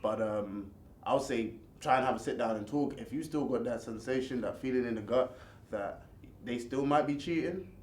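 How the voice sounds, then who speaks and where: A man's voice: medium pitch, a little mellow, slight background noise. British man with a stammer in an echoey room. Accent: British English. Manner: halting, repeats words, laughs off questions. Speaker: guest. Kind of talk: casual interview chat.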